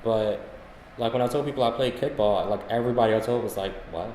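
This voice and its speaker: A man's voice: lowish voice, fast talker, a little mellow. Guy telling stories on a podcast, chatty, roomy sound, bit of background noise. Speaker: podcast co-host. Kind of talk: casual chat, anecdotal. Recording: echoey room, faint background noise.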